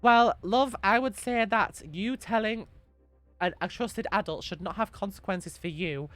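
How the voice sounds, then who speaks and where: A young woman, a little hoarse from being sick, gently answering chat questions on a live stream and repeating her reassuring advice. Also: mid-range voice, a little slow, dry recording.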